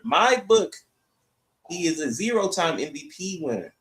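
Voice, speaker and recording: A man with a fairly high-pitched voice co-hosts a sports podcast. He argues his opinions casually but emphatically, often framing them as rhetorical questions. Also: big pitch swings, measured pace, fairly bright tone.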